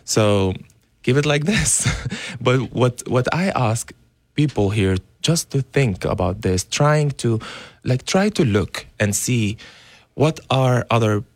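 A young man from Syria, a radio guest speaking earnestly and haltingly with many fillers. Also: medium pitch, expressive, no echo. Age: young adult. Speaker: guest, dance teacher. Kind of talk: radio interview. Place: radio studio.

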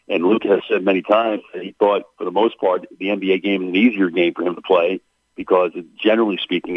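Deep-voiced man on a phone line. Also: dry recording. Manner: blunt, opinionated, frequent "uh" and "you know". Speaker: basketball play-by-play announcer guest. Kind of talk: radio sports interview, analytical.

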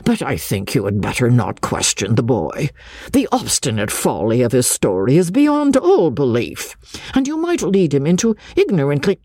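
Village schoolmaster, male acted by a narrator, fussy and uneasy, formal protests, lively pitch, husky, dry storybook recording. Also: moderate pace, no echo. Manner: formal, remonstrating. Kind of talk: audiobook character dialogue.

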